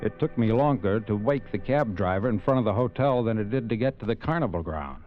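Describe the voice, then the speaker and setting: A man's voice: lowish voice, animated, close to an everyday voice. An actor playing a brash talent agent who narrates his own story and pushes insistently, in 1940s radio acting. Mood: narrating. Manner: brisk, pushy, insistent. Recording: dull old radio recording with faint music.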